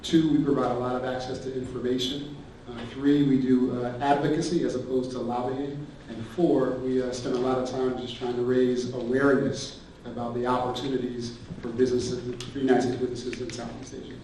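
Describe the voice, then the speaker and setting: A man's voice: medium pitch, flat delivery, brisk, mellow, a little hiss or hum. A man on a conference panel who speaks in an orderly way, laying out his points one by one. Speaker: panelist, trade association representative. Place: conference stage in a large hall. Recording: echoey hall, distant mic.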